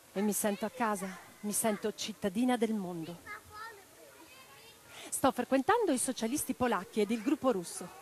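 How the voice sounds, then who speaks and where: An actress playing a young, passionate and romantic socialist woman in a radio drama, speaking in an expressive, earnest, lyrical way. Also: on the high side, close to an everyday voice. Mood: excited. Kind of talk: theatrical radio drama monologue and dialogue.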